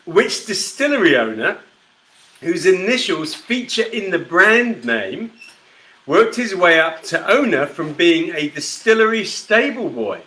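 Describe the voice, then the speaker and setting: A man's voice: high voice, big pitch swings, a little slow, bright, forceful tone, poor audio. A middle-aged man hosting a casual live stream, chatting with viewers and reading their comments aloud. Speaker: host of a live whisky show.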